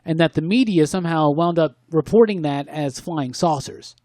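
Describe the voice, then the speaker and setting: A man co-hosting a casual podcast, chatty and excitable, with lots of fillers. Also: on the high side, moderate pace, no room sound, studio quality. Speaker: podcast co-host. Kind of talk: casual chat. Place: small home studio room.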